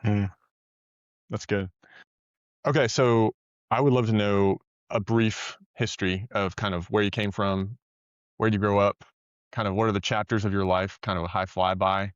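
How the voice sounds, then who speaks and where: A man's voice: lowish voice, steady tone, moderate pace, pauses often, smooth, dry recording. Podcast host guy, friendly curious questions, dry dull audio. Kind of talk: interview questions.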